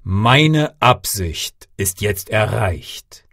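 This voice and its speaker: A commanding fairy-tale sorcerer patriarch, played by a male reader, who pronounces judgment in solemn, declamatory sentences. His delivery moves widely in pitch and sounds close and dry. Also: expressive, very slow, gravelly, fairly bright tone, no room sound, exaggerated voice. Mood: stern. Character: stern sorcerer father, grandfather, punishing then forgiving.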